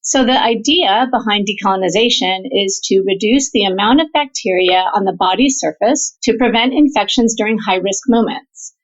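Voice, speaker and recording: A woman's voice: medium pitch, moderate pace, hardly any pauses. A woman physician researcher explaining her work as an interview guest, in an orderly, structured way. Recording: dull, band-limited audio.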